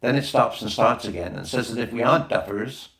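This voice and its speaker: A man's voice: medium pitch, brisk, a bit bright, natural voice. A man voicing a keen young girl in a storybook, earnest and precise in the way of talking. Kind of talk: storybook character reading. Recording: roomy, echoing voice recording.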